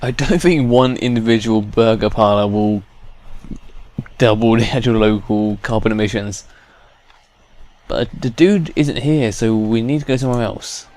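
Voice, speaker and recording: A man's voice: on the low side, normal speed, a little mellow. A man giving casual commentary as he plays through a game. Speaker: gaming video host.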